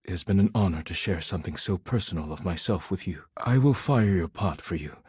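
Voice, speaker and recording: A proud, earnest artisan from a video game. His voice is lowish and strongly husky, with formal, carefully precise phrasing and a touch of haughtiness. The sound is dry, with no room around it. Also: animated, brisk, darkish tone, dry recording, full character voice. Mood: sincere, grateful. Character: proud, formal, devoted male potter, an animate dishware character. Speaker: voice actor. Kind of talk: video game character dialogue.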